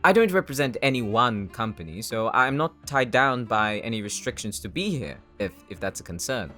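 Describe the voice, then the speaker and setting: A man's voice: medium pitch, animated, moderate pace, bright, forceful tone, soft background music. A man playing a self-assured, businesslike weapons dealer in an anime audio drama, cool, formal and articulate.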